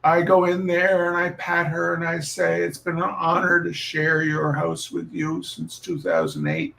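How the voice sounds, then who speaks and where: Slow-talking man with a higher-pitched voice. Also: lively intonation, vocal fry, fairly bright tone, slight background noise. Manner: deliberate, halting, with dramatic pauses and repetition. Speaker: host of a reading night. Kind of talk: informal spoken introduction with personal storytelling.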